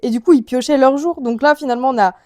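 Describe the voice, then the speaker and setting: High-voiced chatty woman vlogger. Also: fast, darkish tone, dry recording, studio quality. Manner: chatty, rambling, slangy. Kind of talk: casual vlog chat to viewers.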